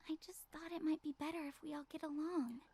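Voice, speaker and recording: Visual novel role: timid, earnest young woman played by an actress, very high voice, pleading peacemaker. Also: steady tone, fast, darkish tone, lightly acted. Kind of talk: visual novel game dialogue.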